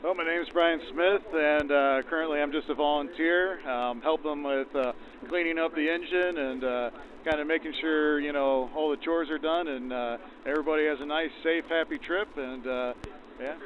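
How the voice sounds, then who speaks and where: Male interviewee, slightly high voice, hesitant and folksy, heard through narrow vintage radio band. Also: steady tone, moderate pace, slight background noise. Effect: 1940s radio filter. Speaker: railroad volunteer crew member. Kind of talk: casual interview answers.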